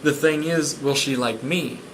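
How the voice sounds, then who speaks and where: A man's voice: a little high, measured pace, a bit bright, a little hiss or hum, rough recording. A man reading aloud and voicing a polite, earnest little male bear from a storybook.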